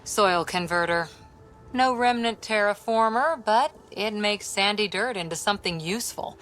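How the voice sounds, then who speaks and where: A woman's voice: medium pitch, moderate pace, fairly bright tone, soft background music, close to an everyday voice. A woman playing a wry, tough alien adventurer in a video game, talking in short, dry, plain-spoken phrases. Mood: reflective. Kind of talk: naturalistic video game acting.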